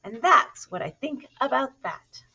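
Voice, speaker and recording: A woman voicing a boastful, excitable little boy kitten from a storybook, theatrical and singsong. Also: high voice, measured pace, creaky, husky, lo-fi sound, pitched above the performer's own voice, heavily acted. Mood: defiant.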